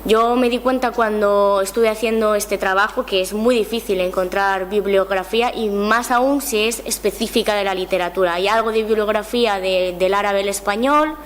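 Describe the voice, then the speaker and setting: A woman's voice: mid-range voice, normal speed, few pauses, slight background noise. A young woman explaining her research off the cuff at a colloquium in an informal way. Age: young adult. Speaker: graduate researcher in translation. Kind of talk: unscripted answers at a colloquium. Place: room at a colloquium.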